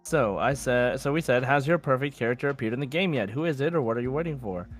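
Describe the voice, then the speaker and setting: A man with a mid-pitched voice co-hosts a casual podcast. He chats informally and reads listener messages aloud, with music faintly audible behind him. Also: fast talker. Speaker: podcast co-host.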